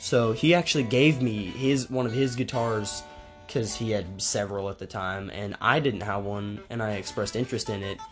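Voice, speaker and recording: A young man chats loosely as host of a podcast among friends, his voice sitting on the lower side. He rambles and restarts, and quiet guitar playing runs underneath the talk. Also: normal speed. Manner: rambling, many fillers and restarts. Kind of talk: casual chat among friends.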